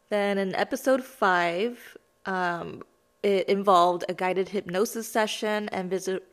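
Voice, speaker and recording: A woman in her thirties hosting a self-help lesson podcast, her voice raspy as she gets over being sick, talking in a chatty, self-deprecating, explanatory way. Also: medium pitch. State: raspy voice from recent illness. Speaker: podcast host.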